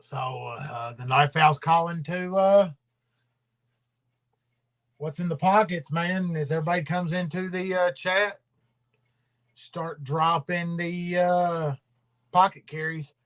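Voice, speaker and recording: A man's voice: a little high, steady tone, slow pace, pauses often, a bit bright. A man hosting a casual livestream, rambling and drawling, his voice trailing off into mumbles. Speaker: livestream host.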